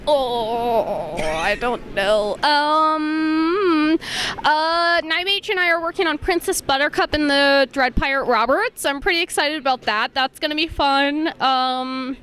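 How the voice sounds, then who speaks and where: A woman's voice: sits high, takes it slowly, a bit bright. A young woman chatting casually and enthusiastically as an interviewed guest at a convention. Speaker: professional cosplayer being interviewed. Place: convention venue. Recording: on-location interview with clear background noise.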